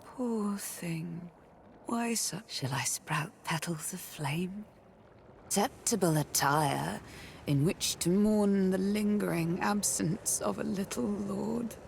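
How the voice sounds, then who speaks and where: An actress playing a sorrowful, cryptic woman in dark fantasy, with measured, archaic, theatrical phrasing. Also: medium pitch, creaky, a little hiss or hum. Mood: cryptic, mournful. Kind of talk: video game cutscene drama acting.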